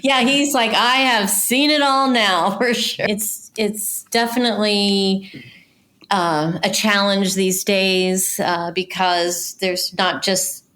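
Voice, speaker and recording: A woman's voice: mid-range voice, steady tone, takes it slowly, a bit bright. A woman speaking as a casting director in an interview, explaining thoughtfully and thinking aloud. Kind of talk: conversational interview answers.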